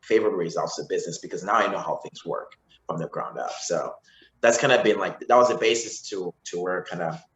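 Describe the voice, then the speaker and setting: A man's voice: deep voice, fairly quick, fairly bright tone. A man in his forties from Zimbabwe, a podcast guest talking casually and often restarting his sentences. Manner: rambling with frequent restarts and fillers. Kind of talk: casual interview conversation. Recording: roomy echo.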